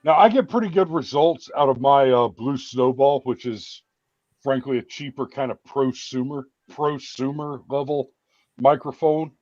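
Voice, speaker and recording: A man's voice: mid-range voice, measured pace, a little mellow, no room sound. A man who hosts his own YouTube show, chatting and bragging at length on a live call through his webcam. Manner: chatty, boastful, rambling. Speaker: YouTube show host and video producer. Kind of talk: casual chat on a live show. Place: home, on a video call. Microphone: webcam microphone. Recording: dull lo-fi webcam audio.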